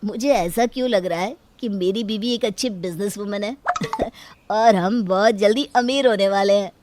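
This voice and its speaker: A woman's voice: mid-range voice, normal speed, darkish tone, a little hiss or hum, lightly acted. A woman voicing a supportive, affectionate young husband who sells tea, in a cartoon moral story. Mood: delighted.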